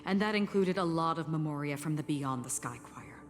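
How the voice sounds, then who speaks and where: Caring, serious woman guide for a game, low register, measured explanatory lines, faint music behind. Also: lively intonation, big-room sound. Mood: serious, explaining. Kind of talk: scripted video game voice acting.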